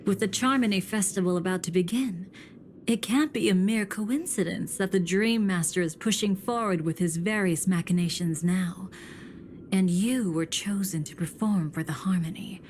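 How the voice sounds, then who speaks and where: A woman's voice: mid-range voice, normal speed, a little mellow, a little hiss or hum, lightly acted. A woman playing a mysterious, knowing woman in a video game, composed and articulate with a slight teasing confidence. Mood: composed, persuasive. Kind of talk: scripted game voice acting.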